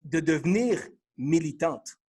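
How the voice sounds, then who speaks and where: A man with a Quebec French accent and a fairly high, husky voice hosts a casual panel interview. He probes his guests, restarting and rephrasing questions as he goes. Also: expressive, a little slow, a little mellow. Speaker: podcast host.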